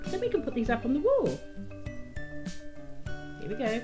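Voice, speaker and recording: Woman as kids' puppet show presenter; swooping, animated delivery, coaxing questions, music underneath. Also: a little high, normal speed. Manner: sing-song, coaxing, simple phrases with many questions. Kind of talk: children's entertainer talk to a puppet and young audience.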